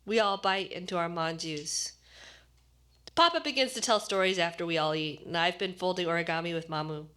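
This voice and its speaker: A woman actor reading a short story aloud as expressive scripted narration. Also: fairly low pitch, a little fast, smooth.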